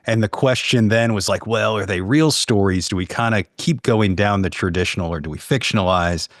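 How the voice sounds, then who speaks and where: A man with a deep, low voice chats as a podcast guest. The recording is dry, with no room sound, and his talk is informal and conversational. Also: a little fast, no room sound. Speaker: podcast guest, audio storyteller.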